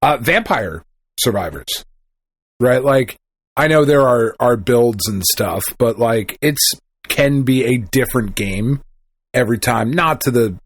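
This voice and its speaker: A man's voice: medium pitch, expressive, moderate pace, husky, dry recording. Easygoing, teasing male podcast co-host. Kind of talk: casual chat.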